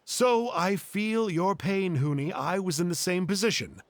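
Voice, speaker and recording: High, swooping theatrical uncle storyteller. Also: male voice, lively intonation, a little hiss or hum. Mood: reassuring, sly. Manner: dramatic, teasing, drawn-out storytelling. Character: theatrical, playful uncle-like storyteller, affectionate and self-pitying. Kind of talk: video game voice acting.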